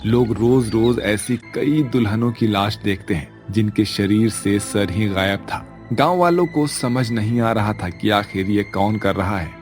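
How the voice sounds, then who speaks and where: A man's voice: fairly low pitch, a little slow, few pauses, soft background music. A man narrating a scripted spooky village story as its storyteller.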